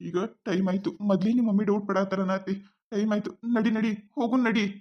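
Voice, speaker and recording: A woman's voice: mid-range voice, fairly even intonation, quick pace, dull audio, lightly acted. A woman playing a devoted young boyfriend. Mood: apologetic. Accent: North Karnataka Kannada. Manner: coaxing, reassuring.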